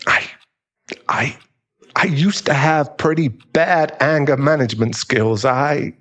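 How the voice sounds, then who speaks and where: A man playing a bitter, guilt-ridden divorced husband in an audio roleplay, halting and emotional, with some swearing. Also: fairly high pitch, a bit bright, full character voice.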